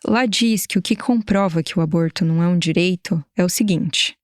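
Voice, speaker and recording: A woman with a Brazilian Portuguese accent narrating a documentary podcast in a measured, explanatory way. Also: on the low side, dry recording, clean studio sound. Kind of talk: scripted narration.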